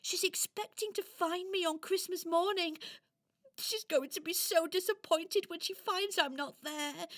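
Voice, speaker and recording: Gentle, frightened little toy, played by a woman for children's radio drama: high, unhurried, tearful-sounding and faltering. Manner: hesitant, plaintive, stammering. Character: timid lost Christmas toy, gentle, tearful and easily frightened.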